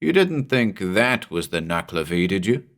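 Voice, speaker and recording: A gruff old islander with a smug, mocking drawl, as a deep-voiced narrator puts him on. He speaks low and slow, like a weathered local who enjoys knowing more than you do. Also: sing-song.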